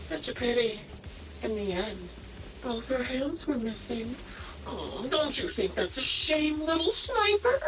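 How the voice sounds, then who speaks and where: A woman's voice: sits high, big pitch swings, recorded from a distance, over music, rough recording. An actress playing a sadistic, theatrical villainess in an anime game, teasing and mocking as she draws out her words. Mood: mock admiration, then gleeful taunting. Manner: mocking, teasing, drawn-out delivery. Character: sadistic, theatrical villainess taunting a young sniper.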